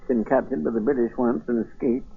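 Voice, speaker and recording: An actor playing an earnest middle-aged revolutionary patriot, gravelly and husky, in a 1940s radio drama. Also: male voice, mid-range voice, steady tone, fairly quick, phone-quality sound. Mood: weary, amused. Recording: thin 1940s radio recording.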